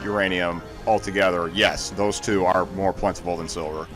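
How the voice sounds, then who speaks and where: A man with a fairly low voice banters casually as a gaming co-commentator. His delivery is wry and deadpan. Music plays clearly behind him. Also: normal speed. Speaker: let's play co-commentator.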